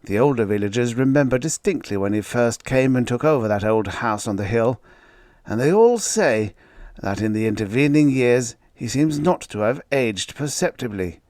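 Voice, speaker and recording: A man voicing a grave, hesitant gentleman who recounts strange events earnestly. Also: mid-range voice, lively intonation, normal speed, no room sound, clean studio sound, natural voice. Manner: earnest, reluctant storytelling.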